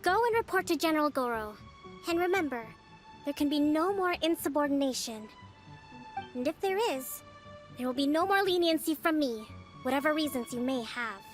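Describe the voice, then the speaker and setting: Young female island leader, high voice, composed, measured, authoritative, faint game music. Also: lively intonation. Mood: stern. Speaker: game character. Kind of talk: video game voice acting.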